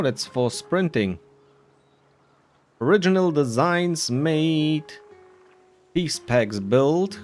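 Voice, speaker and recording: Guy doing chill lets play commentary, talks slow but pitch goes all over, music under it. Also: a little mellow. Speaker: host of an edited game playthrough. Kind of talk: casual gameplay commentary.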